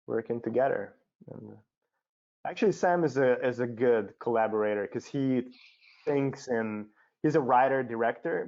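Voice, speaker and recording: A man from Budapest with a Hungarian accent, speaking haltingly and repeating words as an interview guest in an unscripted panel conversation. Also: medium pitch, gravelly, darkish tone. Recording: muffled lo-fi online call.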